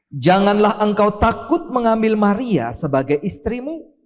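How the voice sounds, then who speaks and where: A man leads worship with a solemn prayer, then reads the gospel aloud. His voice sits somewhat high and rises with emphasis on key words. It rings out with the long reverb of a church hall. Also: a little mellow. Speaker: pastor leading worship. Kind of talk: prayer and scripture reading. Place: church sanctuary.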